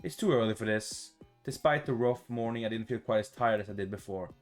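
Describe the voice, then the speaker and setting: Expressive man reading a visual novel over music. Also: on the low side, a little fast. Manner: expressive, casual, sweary. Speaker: gaming video host. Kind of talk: reading a visual novel aloud with playful reactions.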